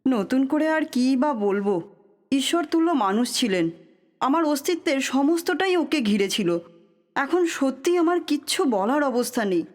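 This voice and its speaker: High-voiced grieving widow, reverberant. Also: female voice, expressive, normal speed. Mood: mournful. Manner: subdued, mournful. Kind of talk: Bengali audio drama acting. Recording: long reverberant hall echo.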